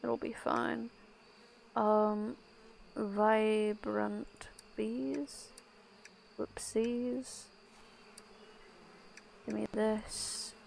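A woman's voice: fairly high pitch, little pitch movement, drawn-out words, gravelly, pauses often, a little mellow, slight background noise, rough recording. A young woman from Ireland chatting casually while streaming a game, rambling and breaking off mid-thought. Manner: rambling, self-interrupting, laughs often. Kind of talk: casual gameplay chat.

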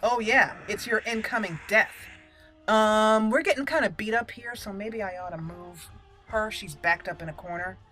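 Give me a woman doing casual video game commentary in a bright, forceful voice. She is playful and chatty, addressing the characters directly, with faint music behind her. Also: medium pitch, moderate pace. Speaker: gaming channel host.

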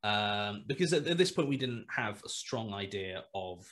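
A man's voice: lowish voice, expressive, normal speed, a bit bright. A man in his thirties talking on a video call through a headset, thinking aloud with many fillers, restarts and hesitations. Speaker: guest writer. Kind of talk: casual video call interview. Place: small room at home.

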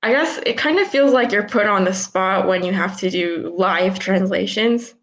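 A woman in her twenties, a guest on a video call from a small room at home, explaining ideas unhurriedly with frequent ums and soft restarts. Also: medium pitch, moderate pace. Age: 20s. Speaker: guest, philosopher. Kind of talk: casual video-call interview.

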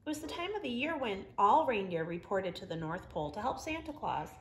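A middle-aged woman reading a children's story aloud in an expressive, emphatic storytelling manner. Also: mid-range voice, brisk. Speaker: storybook reader. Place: living room, indoors.

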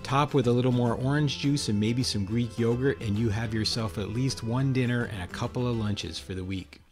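A man in his fifties hosting a cooking show, calmly explaining recipes step by step in a home kitchen. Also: medium pitch, moderate pace, faint music behind. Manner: friendly, explanatory, step-by-step instructions. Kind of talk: casual cooking demonstration.

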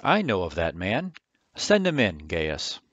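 A man's voice: low voice, wildly swooping pitch, a little slow, a bit bright, dull audio, full character voice. A man playing a stately Roman governor in an amateur radio drama. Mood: commanding.

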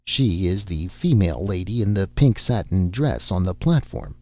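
A man's voice: deep voice, animated, moderate pace. A man voicing an earnest amateur detective with stilted, solemn phrasing in a storybook reading. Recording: dull, roomy amateur audiobook recording.

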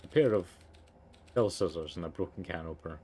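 A man's voice: deep voice, brisk, dark tone, slightly rough. A man doing let's play commentary and reading a game's narration aloud. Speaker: let's player. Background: game music.

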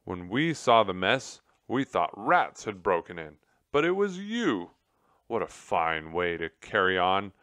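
A man voicing an earnest, stern gentleman mouse in a children's storybook, speaking emphatically and with reproach. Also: fairly low pitch, very animated, unhurried, no echo, studio quality. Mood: indignant, scolding.

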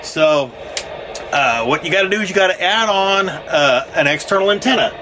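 A man's voice: high-pitched, expressive, normal speed, bright, forceful tone, slight background noise. A middle-aged American man giving a casual, folksy, rambling how-to explanation, recorded on a phone at arm's length inside a small metal trailer. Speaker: DIY vlogger. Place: inside a small aluminum cargo or horse trailer.

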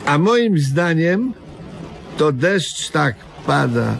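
Slow-talking, grumbling simpleton, noisy recording. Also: male voice, fairly high pitch, creaky, full character voice. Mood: deadpan, bored. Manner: deadpan, naive, contrary. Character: dim, stubborn, grumbling simple man bored at home. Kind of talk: comedic radio play acting. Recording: noisy old radio recording.

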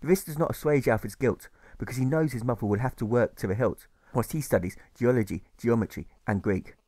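A man narrating a rhyming novel as an audiobook, in measured storytelling that leans on the rhymes. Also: mid-range voice, darkish tone, dry recording. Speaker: author narrating his audiobook.

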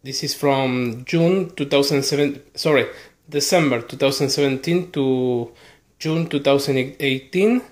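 Argentine man, echoey small room. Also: mid-range voice. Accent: Argentine Spanish. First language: Spanish. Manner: halting, apologetic, lists titles one by one. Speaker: collector showing a haul. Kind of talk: casual chat to camera. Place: small bedroom indoors.

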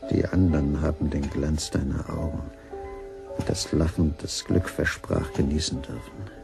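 Jealous bitter man in a crime radio play, very low mellow gravelly voice. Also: fairly even intonation, moderate pace, music in the background, full character voice. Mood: bitter, brooding. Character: brooding, jealous betrayed man investigating his lover's secret. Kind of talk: German radio crime drama acting.

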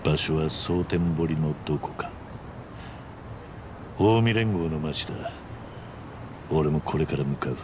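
An actor playing a stoic middle-aged fighter in a video game, speaking in a terse, gruff, restrained way. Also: male voice, very deep voice, slow, long pauses, background noise. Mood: grave, resolute. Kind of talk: video game drama acting.

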